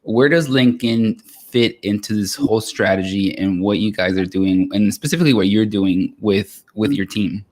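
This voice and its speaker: An energetic young man hosts a live stream interview from a video call. His voice sits a little low, and he asks his questions in an upbeat, lively way. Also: moderate pace. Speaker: host.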